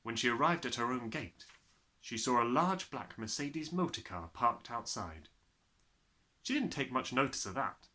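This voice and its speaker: A man reading a children's story aloud as an expressive narrator. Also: mid-range voice, measured pace. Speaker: audiobook reader.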